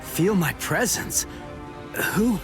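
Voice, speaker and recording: An actor in an English anime game dub playing a hot-headed, stubborn teenage Soul Reaper, brash and blunt. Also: male voice, sits high, lively intonation, slow, over music. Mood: startled, wary.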